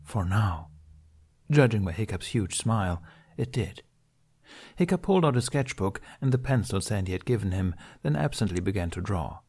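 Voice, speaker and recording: A man's voice: on the low side, lively intonation, a little fast, a little mellow, breathy edge. A man reading a story aloud as scripted narration. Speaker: podfic reader.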